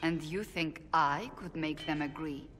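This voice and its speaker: A very low-pitched female warrior, stern and principled. She speaks in formal, deliberate phrases with earnest bluntness, as in a dramatic fantasy game. Background noise sits under the voice. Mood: guarded, dry. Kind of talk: dramatic video game dialogue.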